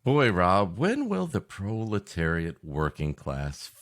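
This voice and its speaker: A man co-hosting a podcast with improvised comic banter in a halting, mock-earnest, repetitive way. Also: low voice, huge pitch swings. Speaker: podcast co-host.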